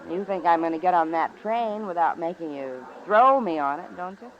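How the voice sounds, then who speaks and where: A woman's voice: on the low side, lively intonation, moderate pace, natural voice. An actress playing a wry, bold American saloon woman in a radio western, with a teasing delivery. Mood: wry, grudging. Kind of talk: old-time radio western acting. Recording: muffled old radio recording, crowd behind.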